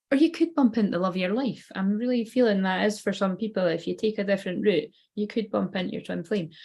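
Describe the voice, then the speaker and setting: A woman giving a casual tarot card reading. She rambles loosely and swears offhandedly. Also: mid-range voice.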